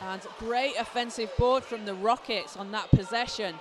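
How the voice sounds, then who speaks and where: A man, hoarse from a cold, giving excited live play-by-play basketball commentary in an indoor arena. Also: high voice, moderate pace. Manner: excited, shouting calls on big plays. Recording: clear arena noise behind.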